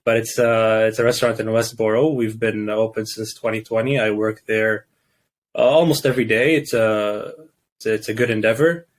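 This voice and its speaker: A young man born and raised in Canada, a guest in a casual interview, who talks haltingly with many restarts and fillers. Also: fairly low pitch, fairly even intonation.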